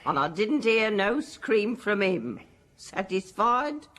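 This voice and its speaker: A grumpy servant in a radio mystery. She has a working-class British accent and a slow, sulky, sarcastic delivery at medium pitch. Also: very animated, fairly bright tone, a little hiss or hum. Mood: surly, defiant. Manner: surly, blunt, sarcastic.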